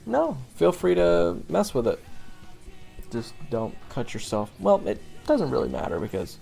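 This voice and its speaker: A gravelly, somewhat dark-voiced monster hunter acted by a man talks straight to his rookie in an audio roleplay. He is blunt but easygoing, halting often and leaving long pauses, with faint music behind him. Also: fairly high pitch, huge pitch swings, exaggerated voice. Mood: casual, offhand. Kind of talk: audio roleplay acting addressed to the listener.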